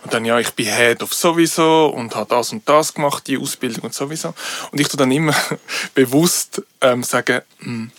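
A man from Switzerland with a Swiss German accent, co-hosting a podcast in a relaxed, reflective way and chuckling often. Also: medium pitch, moderate pace, no room sound. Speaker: podcast co-host. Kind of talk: casual conversation.

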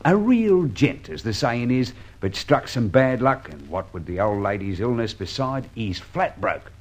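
An actor playing a chatty, sympathetic London constable with a Cockney accent, matey and respectful, in a radio drama. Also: male voice, mid-range voice, big pitch swings, a little slow. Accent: Cockney English. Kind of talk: old-time radio drama acting.

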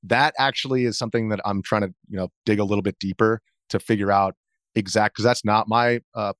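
An American man speaking as an interview guest, explaining things in a measured, conversational way. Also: lowish voice, steady tone, a bit bright, no echo, clean studio sound. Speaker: interview guest, video journalist.

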